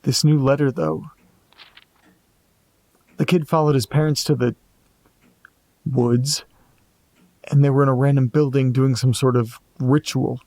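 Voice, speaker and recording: A man playing an anxious amateur investigator in a horror audio drama, muttering hesitantly to himself in a gravelly voice. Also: medium pitch, level tone, measured pace, long pauses, mellow, dry recording, close to an everyday voice, low-quality recording. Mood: puzzled, musing. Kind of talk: audio drama monologue, reading and musing aloud.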